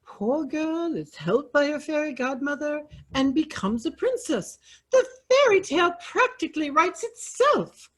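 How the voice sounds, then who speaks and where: A woman's voice: high voice, big pitch swings, a little slow, poor audio. An actress playing a flustered, exasperated fairy godmother in a children's fairy-tale stage reading.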